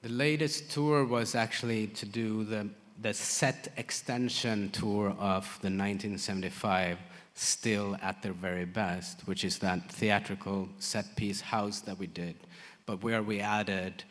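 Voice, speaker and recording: A man who works as a lighting designer, answering audience questions in a halting way with many fillers and restarts. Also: fairly low pitch, steady tone, fairly bright tone. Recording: long hall reverb.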